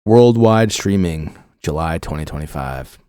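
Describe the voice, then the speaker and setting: A man co-hosting a casual chat show, his voice going hoarse, reviewing with enthusiasm. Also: a bass voice, animated, a little slow, mellow, no room sound, studio quality. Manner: enthusiastic, rating and reviewing at length. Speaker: podcast co-host.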